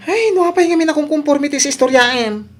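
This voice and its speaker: A woman's voice: high voice, quick pace, faint music behind, natural voice. A woman acting an anxious, aggrieved character who complains fretfully in an Ilocano radio comedy drama. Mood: reproachful. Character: anxious, aggrieved woman worried about her reputation.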